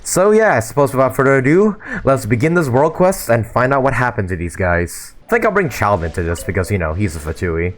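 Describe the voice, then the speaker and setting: A hoarse young man giving loose, casual commentary over a video game, with many fillers and repeats. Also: medium pitch, sing-song, fairly quick, few pauses. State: hoarse from a sore throat. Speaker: gaming YouTuber.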